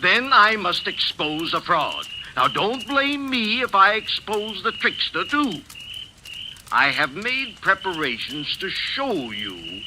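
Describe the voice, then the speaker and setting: A defiant professor from an old radio mystery. His voice is high and swoops widely, with slow, formal, showman-like phrasing. The old recording is clearly noisy. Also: sing-song, exaggerated voice. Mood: indignant. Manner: theatrical, deliberate, formal. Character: theatrical, defiant older male professor staging an illusion.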